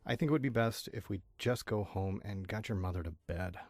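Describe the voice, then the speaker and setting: Man acting a soothing low-voiced stallion husband. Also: fairly quick, no room sound. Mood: soothing, concerned. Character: gentle, reassuring stallion husband and father. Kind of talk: fan audio drama acting.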